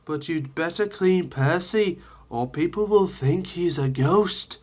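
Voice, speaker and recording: A man's voice: a little high, slow pace, pitched above the performer's own voice. A man voicing a practical adult railway signalman who speaks matter-of-factly.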